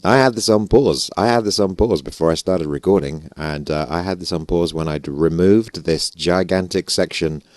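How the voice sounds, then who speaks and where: A British man with a hoarse, gravelly voice, rambling and joking at himself through casual game commentary on a close stage mic. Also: sits low, moderate pace, few pauses, a little mellow, dry recording. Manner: rambling, self-mocking, chuckling. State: hoarse, gravelly voice after losing it. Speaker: gaming YouTuber. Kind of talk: casual let's-play commentary. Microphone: stage vocal mic close up.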